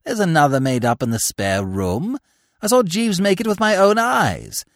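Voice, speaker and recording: A man voicing a petulant, excitable young gentleman who talks querulously in chummy slang. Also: on the high side, moderate pace, no room sound, clean studio sound. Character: young male English dandy, petulant and excitable.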